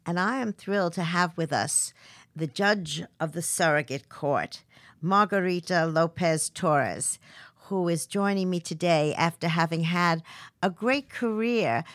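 A woman hosts an interview podcast, reading an upbeat introduction and asking eager questions. Her pitch moves a lot while sitting fairly low. The sound is close and dry. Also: a bit bright, no room sound. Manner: enthusiastic, promotional. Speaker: podcast host, publisher.

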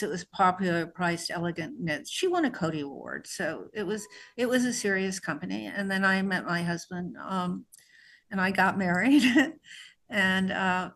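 A woman's voice: on the low side, a bit bright. A middle-aged woman author raised in New York, answering interview questions in a conversational way with frequent restarts. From born in New Mexico, raised in New York.

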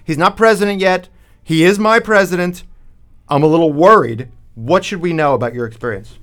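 A man's voice: a little high, brisk, a bit bright. A man in his fifties hosting a radio show, bantering and reading ads with wry, joking asides. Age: 50s. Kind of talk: bantering radio talk and live ad reads.

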